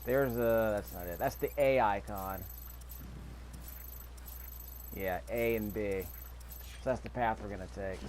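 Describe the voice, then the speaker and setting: A man's voice: fairly low pitch, normal speed, darkish tone, a little hiss or hum. A man giving casual gameplay commentary, thinking aloud to himself as he plays. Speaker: gamer recording a gameplay video.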